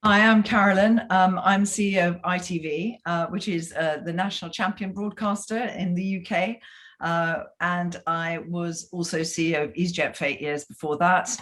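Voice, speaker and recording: A woman's voice: fairly low pitch, fairly even intonation, normal speed, fairly bright tone. A woman from the UK, a chief executive on an online panel, talking in a chatty way with many fillers. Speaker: CEO and panelist.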